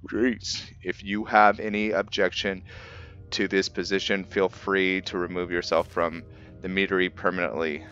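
A man giving casual video game commentary as a roleplay host, halting as he thinks aloud. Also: deep voice, flat delivery, fairly bright tone, lo-fi sound. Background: game music.

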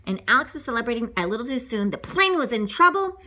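A woman's voice: fairly high pitch, sing-song, quick pace, bright, forceful tone. A woman reading a children's story aloud in a playful, exclamatory way, with ad-libs along the way.

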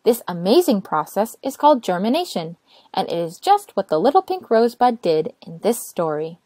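A woman narrating a children's storybook aloud in an expressive, careful way. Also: mid-range voice, moderate pace, no echo, studio quality. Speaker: storytime reader.

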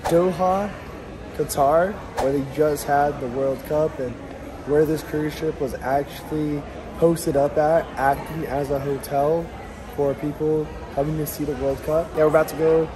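A young man filming a casual travel vlog, relaxed and chatty, saying "like" often and laughing easily. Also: on the high side, unhurried, noisy background. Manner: laid-back, says "like" a lot, laughs easily. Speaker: travel vlogger. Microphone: handheld camera selfie.

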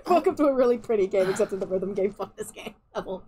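A woman's voice: medium pitch, lively intonation, fast talker, mellow. A young woman streaming a video game, giving sarcastic, ranting commentary and swearing freely over the cutscenes. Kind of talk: casual let's-play commentary. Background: game music and cutscene audio.

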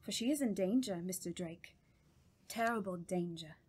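A woman playing a grave, scholarly doctor of the supernatural in an audio drama, with a formal, deliberate and ominous manner. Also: on the low side, lightly acted. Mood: grave warning.

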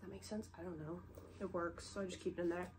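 A woman's voice: on the low side, fairly even intonation, fast talker. A young woman with a stuffy head cold, chatting and rambling casually in her bedroom with lots of "like" fillers. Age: young adult. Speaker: vlogger. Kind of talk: casual show-and-tell chat. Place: bedroom, sitting on the bed. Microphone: camera set low in front of her. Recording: noisy home recording.

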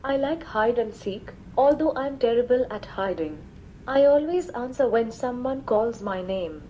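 A woman's voice: a little high, unhurried, slight background noise, poor audio. A text-to-speech female assistant voice answering questions politely, played from a small smart speaker in a room. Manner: even, polite, machine-read delivery. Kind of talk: synthetic assistant replies. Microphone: small speaker played back in a room.

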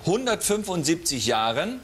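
A middle-aged man hosting a TV show, reading out a dictation slowly and joking with the contestants between sentences. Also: a little high, very animated, a bit bright. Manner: drawn-out dictation, teasing asides. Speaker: talk show host dictating a spelling test. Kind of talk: slow comic dictation with banter. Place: TV studio with a live audience. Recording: studio audience noise.

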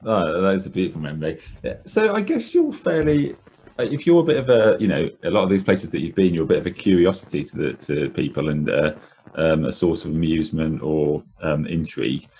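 A man with a very low, gravelly voice and a quick delivery, hosting a podcast interview. He asks affable questions that ramble a little, padded with fillers, and the voice has a husky edge. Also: lively intonation, a little mellow, slight background noise. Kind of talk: casual interview.

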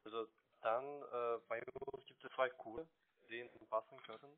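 A man with a foreign accent, a non-native speaker, giving a halting, hesitant telephone sales pitch. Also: fairly even intonation, slight background noise. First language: not German. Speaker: telesales call centre agent. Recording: narrow, tinny phone line.

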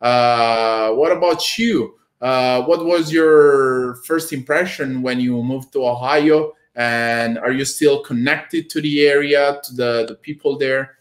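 A young Italian man with an Italian accent, hosting an interview over a video call and asking measured questions. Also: medium pitch. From Italy. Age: young adult. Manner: measured, slow questions with many fillers. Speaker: host, performance nutritionist. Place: home room with bookshelves. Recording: echoey room on a video call.

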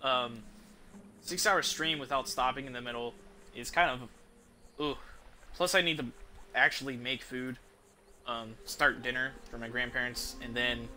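Bright-voiced young man streaming a game. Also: medium pitch, steady tone, a little slow, pauses often, slight background noise. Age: young adult. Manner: informal, swearing, thinking aloud. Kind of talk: casual gameplay commentary. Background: game music.

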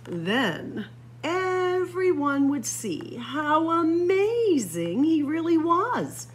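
A woman reads a picture book aloud to children in a lively, rising and falling voice that sits a little high. She takes her time, leaving dramatic pauses and stretching out key words. A noticeable hum of background noise runs under the recording. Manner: dramatic pauses and drawn-out emphasis for young listeners. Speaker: library story-time reader. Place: library room with bookshelves.

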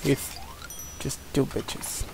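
A man's voice: drawn-out words, a little hiss or hum, low-quality recording. A young man from Portugal with a Portuguese accent, casually commenting on a game while he plays, mumbling to himself. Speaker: gameplay commentator.

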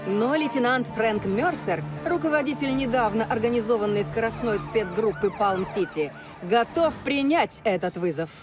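A woman's voice: on the high side, lively intonation, moderate pace, hardly any pauses, over music, lightly acted. An actress playing a serious female TV news reporter in a video game, formal and dramatic.

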